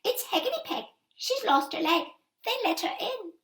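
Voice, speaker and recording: A woman's voice: very high-pitched, sing-song, moderate pace, a bit bright, echoey room, pitched above the performer's own voice, heavily acted. A woman voicing a helpful little storybook blackbird in a chirpy singsong.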